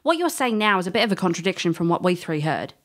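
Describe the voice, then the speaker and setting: An actress as a cheeky, confident woman adventurer in an audio drama. She has a lowish voice and a sardonic, needling delivery, sure of her own knowledge. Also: very animated, fast talker, a bit bright. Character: sardonic, bold woman, self-taught magic scholar adventurer.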